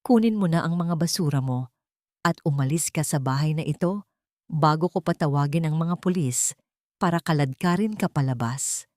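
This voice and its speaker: A woman's voice: deep voice, normal speed, darkish tone, no room sound, studio quality, close to an everyday voice. A woman voicing a cold, cruel husband who gives commanding orders in a dramatic story. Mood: cold, menacing.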